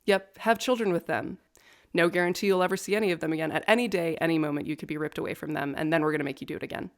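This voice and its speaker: A woman's voice: low voice, racing. A young adult woman co-hosting a podcast, telling a history story in casual chat with wry, profane asides and a nerdy interest in law. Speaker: podcast co-host.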